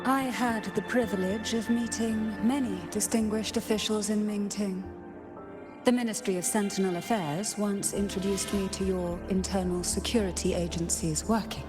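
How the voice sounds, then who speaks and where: A woman playing a composed, perceptive, courtly lady in a video game, with a formal and deliberate manner. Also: mid-range voice, fairly even intonation, over music, lightly acted. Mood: composed, knowing. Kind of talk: scripted video game acting.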